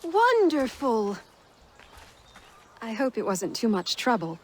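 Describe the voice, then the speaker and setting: A woman playing a spirited, grateful settler wife in a video game cutscene, heartfelt and expressive. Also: on the high side, takes it slowly, heavily acted.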